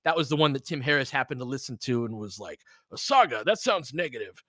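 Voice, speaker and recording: A man's voice: mid-range voice, lively intonation, fast, a bit bright, no room sound. Man, dry close-sounding casual commentary. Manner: conversational, rambling, candid. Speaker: host doing audio play-by-play. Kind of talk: casual chatty game commentary with stories and asides.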